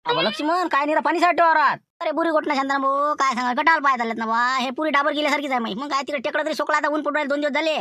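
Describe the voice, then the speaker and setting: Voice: high-pitched, fairly even intonation, racing, hardly any pauses, sharp tone, voice pushed up. A woman voicing worried village farmers in a cartoon comedy, speaking colloquial, complaining rural Vidarbha Marathi. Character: worried Marathi village farmer men chatting about crops.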